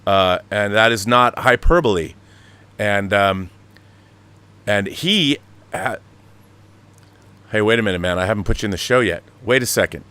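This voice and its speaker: A man's voice: on the low side, normal speed, long pauses, sharp tone, clean tone. A man hosting a live talk show, giving emphatic, opinionated commentary with rhetorical questions.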